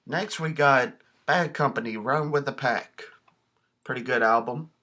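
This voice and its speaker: Bright-voiced man, casual vlogger. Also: mid-range voice, a little slow. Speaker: video host showing a cassette collection. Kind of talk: casual show-and-tell vlog.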